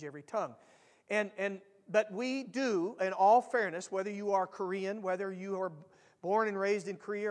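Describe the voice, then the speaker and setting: An American man preaching a sermon to his church, earnest and repetitive, swelling loud on key lines. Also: high-pitched, in a large hall. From United States. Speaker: pastor. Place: church sanctuary.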